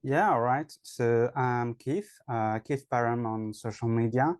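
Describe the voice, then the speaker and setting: A man's voice: medium pitch, measured pace, smooth, no echo, studio quality. A man with a French accent, an online English teacher, answering interview questions in a hesitant way with many fillers. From France.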